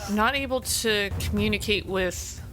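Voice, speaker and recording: A woman's voice: medium pitch, unhurried, fairly bright tone, faint music behind. A woman playing in a tabletop role-playing game, asking questions and thinking through her moves aloud. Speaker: player.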